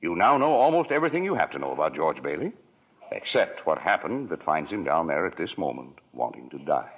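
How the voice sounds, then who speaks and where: An actor playing a calm, fatherly senior angel who tells the story in 1940s radio acting, husky and unhurried. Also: rumbling low. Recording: tinny old radio broadcast.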